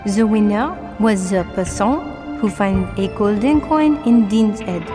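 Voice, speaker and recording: A woman's voice: medium pitch, animated, takes it slowly, long reverb tail, over music. An actress voicing a cheerful young French woman in a game, with a French accent and earnest, slightly broken English. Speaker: game character.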